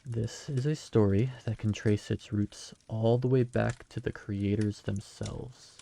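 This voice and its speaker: A man playing a calm, patient storyteller telling a bedtime tale in a fantasy audio drama. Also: lowish voice, unhurried, vocal fry.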